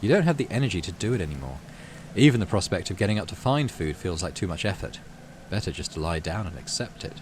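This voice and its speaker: A man narrating a story game aloud as a gaming host, expressive and measured, with casual asides. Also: deep voice. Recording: clearly audible background noise.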